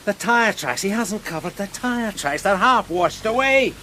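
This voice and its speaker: A man's voice: high voice, lively intonation, unhurried, bright, forceful tone, slight background noise, exaggerated voice. Wry male police sergeant. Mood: annoyed. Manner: matter-of-fact, drily humorous, deferential. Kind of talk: radio crime drama acting.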